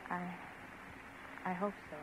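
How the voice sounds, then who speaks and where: An actress playing an anxious young college student in an old radio horror drama, hesitant and halting but insistent. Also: female voice, lightly acted. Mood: uneasy, hesitant. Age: young adult. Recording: noisy vintage radio recording.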